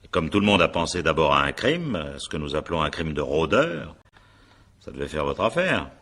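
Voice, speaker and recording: An actor playing a shrewd, patient examining magistrate in a French radio crime drama, probing with hesitations and polite irony. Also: male voice, extremely low pitch, big pitch swings, normal speed, a bit bright, exaggerated voice. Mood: insistent.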